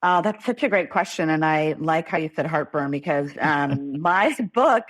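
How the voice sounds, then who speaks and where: A woman's voice: deep voice, animated, moderate pace. A middle-aged woman with an American accent, telling her story conversationally as a podcast guest. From Los Angeles, California. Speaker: podcast guest, author and lawyer. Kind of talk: conversational interview, personal storytelling.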